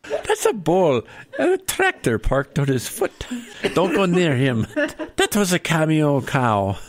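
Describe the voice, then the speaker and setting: A man's voice: on the high side, huge pitch swings, takes it slowly. A man hosting a comedy radio show in casual, joking chat.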